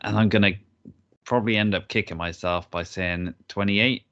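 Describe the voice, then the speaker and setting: A man co-hosting a podcast, relaxed and low-key as he asks and answers quiz questions. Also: moderate pace, lo-fi sound. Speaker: podcast co-host. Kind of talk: casual podcast chat.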